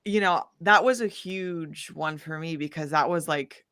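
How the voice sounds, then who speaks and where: Chatty, animated woman with a lowish voice. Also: a little slow, clean tone, no echo, clean studio sound. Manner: animated, gushing, with frequent "like" and "you know". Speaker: interview guest, independent singer-songwriter. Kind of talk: casual, chatty interview.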